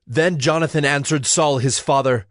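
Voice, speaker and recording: A man's voice: a little high, moderate pace, fairly bright tone, dry recording, studio quality. A man narrating a dramatized Bible story from a script.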